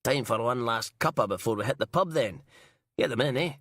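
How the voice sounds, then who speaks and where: A man's voice: medium pitch, lively intonation, a little fast, fairly bright tone, no echo, studio quality. A man reading an audiobook and voicing a crude, sarcastic female police inspector. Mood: mocking.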